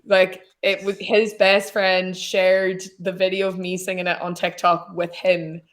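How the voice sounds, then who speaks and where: Irish woman with a deep voice. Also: level tone, measured pace. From Donegal, Ireland. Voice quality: naturally deep voice. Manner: chatty, rambling, self-deprecating. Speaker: singer-songwriter guest. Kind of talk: casual chat.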